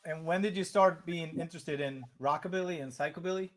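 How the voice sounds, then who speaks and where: A man with a Serbian accent hosts a casual livestream interview. He is relaxed, laughs easily and answers with short agreeing words. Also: on the high side, a little fast. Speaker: show host.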